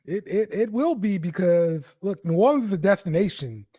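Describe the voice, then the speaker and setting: A man with a high, animated voice co-hosts a casual sports podcast. He keeps things upbeat and teasing, and often loops back over the same phrase while he talks. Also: normal speed. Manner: upbeat, teasing, repeats himself. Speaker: podcast co-host.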